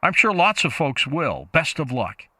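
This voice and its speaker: An actor playing a courteous, interested paranormal radio host, with polished interview delivery. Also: male voice, a little high, very animated, unhurried, bright, forceful tone, dry recording, exaggerated voice.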